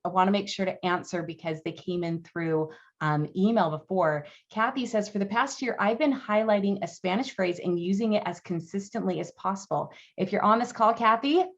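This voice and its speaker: A woman's voice: mid-range voice, highs cut off. A woman in her thirties hosting a live online Q and A, upbeat and encouraging, with plenty of ums and likes. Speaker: language program host. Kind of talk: live webinar Q and A.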